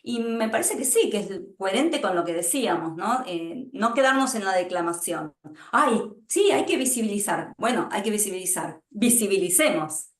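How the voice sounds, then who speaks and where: A woman's voice: medium pitch, lively intonation, normal speed. A woman speaks emphatically and with animation in a conversational interview. Her voice carries the echo of a roomy space. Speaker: translator and publisher.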